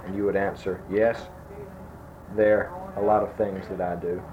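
A man in his sixties, speaking softly and slowly as he asks grammar fill-in-the-blank questions, his sentences trailing off. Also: low voice, flat delivery. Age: 60s. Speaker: interviewer giving a grammar quiz. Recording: dull lo-fi tape with faint noise.

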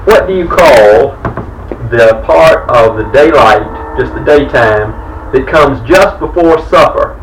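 A man's voice: mid-range voice, animated, takes it slowly, a little hiss or hum. A man interviewing, asking questions with fillers and restarts. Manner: hesitant, many fillers and restarts as he asks. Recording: roomy, from far off the mic.

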